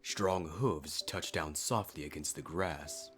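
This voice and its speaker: A man's voice: a bass voice, takes it slowly. A man reading a dramatic scripted story as a fan fiction narrator. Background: faint music.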